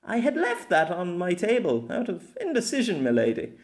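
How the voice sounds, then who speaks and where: A nonchalant knight from a fantasy audiobook, played by a man, speaking in a raised pitch. He is formal and courteous, offering polite apologies to his lady. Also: huge pitch swings, moderate pace, full character voice. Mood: apologetic.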